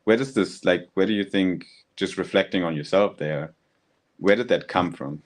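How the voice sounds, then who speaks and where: A man's voice: low voice, moderate pace. A man hosting a conversational interview, listening attentively and often murmuring short acknowledgements as he asks his questions. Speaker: host interviewer.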